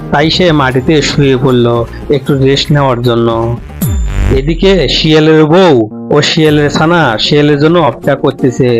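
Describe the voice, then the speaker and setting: A man with a Bangladeshi accent narrating a cartoon story in a lively, colloquial way. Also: a little high. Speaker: storyteller and voice of all figures.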